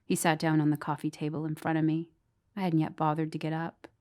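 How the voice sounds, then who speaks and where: An actress playing a bleak, resentful girlfriend who narrates a horror audio drama in a deadpan, brooding way. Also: low voice, quick pace, lightly acted.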